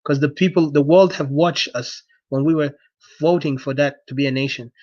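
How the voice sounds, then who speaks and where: A man's voice: on the high side, moderate pace, a little mellow, dry recording. South Sudanese man, dull lo-fi sound. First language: not English. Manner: exhorting, repetitive, earnest. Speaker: activist speaker addressing supporters. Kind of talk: impassioned political address, unscripted.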